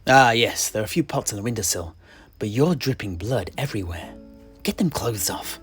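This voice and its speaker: A man's voice: on the low side, expressive, moderate pace, soft background music, close to an everyday voice. A man playing a fussy, easily flustered tavern keeper and amateur historian in a fantasy audio drama, speaking in wordy, mildly formal phrases. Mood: exasperated. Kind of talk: in-character audio drama dialogue.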